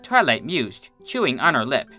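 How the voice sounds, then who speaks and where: Expressive, bright-voiced woman narrating a story. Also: a bass voice, normal speed. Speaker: fan fiction reader. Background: soft guitar music.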